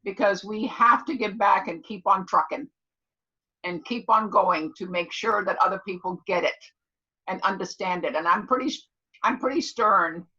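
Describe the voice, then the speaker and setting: Woman in her seventies, class instructor, forceful bright tone, emphatic, repetitive, motherly, dull online-call sound. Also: medium pitch, normal speed. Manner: emphatic, repeats herself and checks listeners understand; loud, clear talker. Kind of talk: casual motivational class talk.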